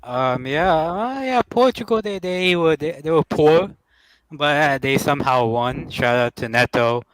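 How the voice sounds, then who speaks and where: A young man talks football in a loose panel chat, stopping and restarting often with plenty of fillers. His voice sits a little high and the sound is dry and close. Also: expressive, unhurried, no room sound. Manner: rambling, hesitant, many fillers and restarts.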